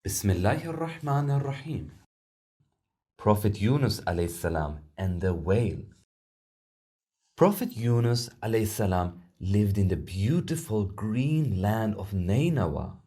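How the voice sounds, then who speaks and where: A man narrating a children's storybook in an expressive, deliberate storytelling manner. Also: fairly low pitch.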